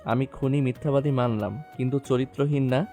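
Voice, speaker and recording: A man's voice: mid-range voice, steady tone, brisk, close to an everyday voice. A man playing a cruel, jealous husband in a romantic audio story, taunting, threatening and commanding. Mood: bitter, jealous. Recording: music behind the voice.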